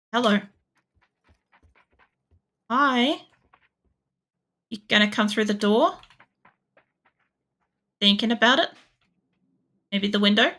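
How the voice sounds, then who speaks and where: A woman's voice: medium pitch, creaky, sharp tone. A young Australian woman with an Australian accent streaming a game, chatting casually with viewers, rambling with lots of fillers and swearing.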